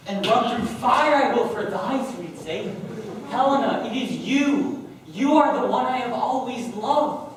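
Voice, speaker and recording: A man's voice: extremely high pitch, lively intonation, measured pace. A man playing an ardent young Athenian lover in a stage play, declaiming passionately, recorded from far off in a noisy echoey hall. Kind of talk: amateur stage play acting.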